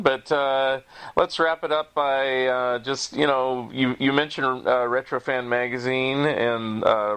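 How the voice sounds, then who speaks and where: A man's voice: mid-range voice, a bit bright, no echo. A man in his fifties hosting a podcast in a casual, conversational style. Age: 50s. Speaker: podcast host.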